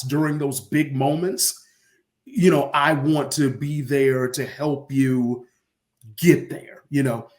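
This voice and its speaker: A man, an arena announcer, telling casual stories as an interview guest and acting out bits of dialogue. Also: mid-range voice. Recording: roomy echo on the voice.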